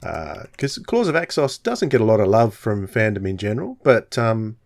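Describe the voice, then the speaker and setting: A man's voice: on the low side, sing-song, moderate pace, creaky. A man hosting a podcast, talking in a casual interview style. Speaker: podcast host.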